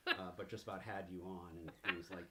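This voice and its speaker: A man narrating a casual tabletop game as game master, halting as he improvises his way along. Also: deep voice, fairly even intonation, echoey room, noisy background.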